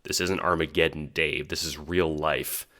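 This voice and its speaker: A man's voice: rumbling low, fairly even intonation, normal speed, very noisy. A man voicing a blunt, earnest male friend in an audio drama, firm and given to swearing. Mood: firm pleading.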